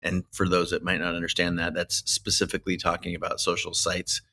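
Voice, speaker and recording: A deep-voiced male podcast host with a bright, clear tone. He frames his questions slowly and at length, and his delivery stays measured throughout. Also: completely flat delivery. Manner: measured, long winding questions. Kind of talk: interview hosting.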